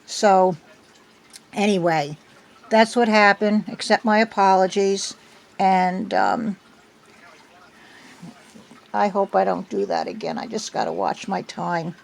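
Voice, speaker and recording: Slow, slightly hoarse older woman. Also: medium pitch, lively intonation. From United States. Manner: chatty, meandering, many ums. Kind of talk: casual chat. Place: at home indoors. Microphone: phone.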